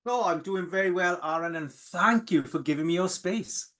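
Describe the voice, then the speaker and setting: A man from the South Wales valleys, a Welsh speaker first, talking openly and reflectively as an interview guest, with many pauses and restarts. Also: high-pitched, a bit bright. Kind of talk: candid, emotional interview.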